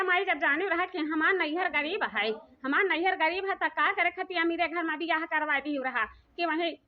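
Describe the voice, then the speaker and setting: A woman's voice: high voice, lightly acted. A woman voicing a poor, aggrieved village daughter-in-law in a comedy cartoon, complaining plaintively in rural dialect. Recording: dull, muffled audio.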